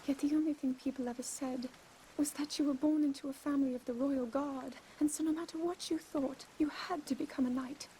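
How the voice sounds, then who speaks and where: A woman's voice: sits high, steady tone, a little fast, dark tone, a little hiss or hum, lightly acted, poor audio. A woman playing an earnest, scholarly young fantasy princess in a video game, with a British accent and formal, precise diction. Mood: reflective. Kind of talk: dramatic video game cutscene acting. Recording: music behind the voice.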